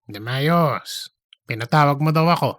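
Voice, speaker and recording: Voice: medium pitch, animated, unhurried, no echo, studio quality, natural voice. A performer acting out chatty office colleagues in a comedic audio drama, with an exaggerated, teasing conversational manner.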